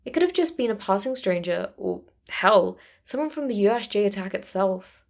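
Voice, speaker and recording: A woman narrates prose fiction in a medium pitch. Her delivery is scripted storytelling, following a character's thoughts as an audiobook reader would. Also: lively intonation, moderate pace, creaky.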